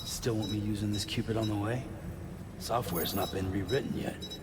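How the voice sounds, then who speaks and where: An actor playing a gruff, taciturn male courier in video game dialogue, gravelly and low-key. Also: fairly even intonation, normal speed, natural voice. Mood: resigned. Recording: noisy ambience behind the voice.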